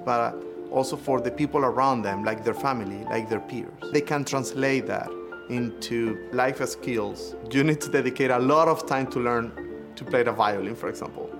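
A young adult man from Venezuela with a Venezuelan Spanish accent, a conductor speaking earnestly and with measured hesitations about music education. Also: mid-range voice. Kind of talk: reflective personal testimony. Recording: interview over clear music. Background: instrumental music.

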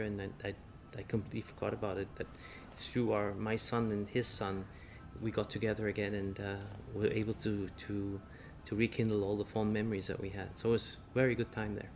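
Middle-aged chef, low gravelly voice, halting and restarting; faint room noise behind. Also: male voice, little pitch movement, darkish tone. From Singapore. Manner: halting, many restarts and fillers. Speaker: chef interviewee. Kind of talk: casual interview.

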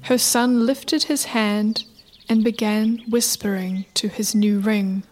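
A woman narrating a scripted folktale as a measured storyteller. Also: medium pitch, fairly even intonation, darkish tone. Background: music.

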